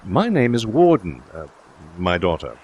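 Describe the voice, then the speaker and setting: A man voicing a jaunty, effusive old gentleman in an audiobook story. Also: sits low, wildly swooping pitch, unhurried, slight background noise, heavily acted. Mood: flustered, grateful. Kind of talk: dramatised audiobook dialogue.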